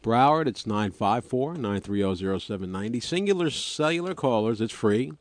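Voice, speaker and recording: A man co-hosting a live radio talk show, reading out call-in numbers calmly. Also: mid-range voice, brisk. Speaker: radio co-host.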